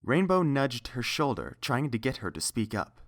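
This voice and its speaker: A woman's voice: a bass voice, big pitch swings, moderate pace. A woman reading a scripted story aloud as the narrator.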